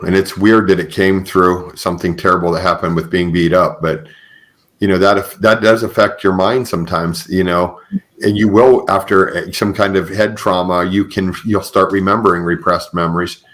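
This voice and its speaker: Very deep-voiced man hosting an interview. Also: fairly even intonation, a bit bright. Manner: halting, rephrases questions as he goes. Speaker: podcast host. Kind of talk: conversational interviewing.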